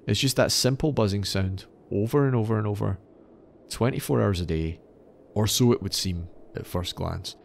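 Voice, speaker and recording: Man, deep voice, measured podcast storytelling, articulate, faint background noise. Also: gravelly. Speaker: podcast host. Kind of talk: semi-scripted storytelling narration.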